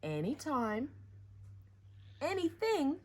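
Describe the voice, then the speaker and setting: A woman's voice: high-pitched, huge pitch swings, slow, a little mellow, a little hiss or hum. A woman presenting storytime, reading picture books in a playful, theatrical way with chatty asides to young listeners. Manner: playful, theatrical, with dramatic emphasis.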